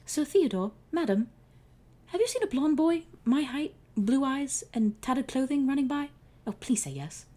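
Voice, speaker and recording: Woman voicing a stately young pharaoh, formal speech, high voice, lively pitch. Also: normal speed. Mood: pleading. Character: haughty young man, an Egyptian pharaoh, worried.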